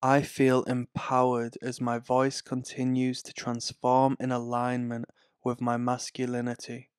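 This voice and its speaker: A man reading guided affirmations in a calm, soothing, scripted way. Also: medium pitch, clean tone, no room sound, clean studio sound. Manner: slow, calm, soothing delivery. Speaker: affirmation narrator.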